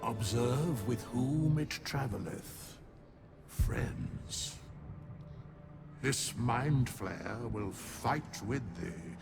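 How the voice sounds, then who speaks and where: Casting: ancient undead oracle, gravelly, extremely slow, archaic and ponderous, music behind. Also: male voice, medium pitch, animated, heavily acted. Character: ancient undead sage, grave and all-knowing. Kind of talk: fantasy game acting.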